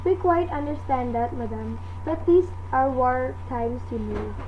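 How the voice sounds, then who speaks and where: Dull lo-fi sound, actress as polite telephone operator, high voice, formal, patient, officious, radio drama. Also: female voice, expressive, unhurried. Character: polite, unhurried hospital receptionist and switchboard operator. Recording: dull, slightly noisy recording.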